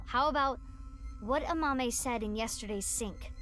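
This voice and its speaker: A woman's voice: a little high, unhurried, fairly bright tone. A woman voicing an earnest, determined young female detective in a video game, straightforward and decisive. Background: video game music.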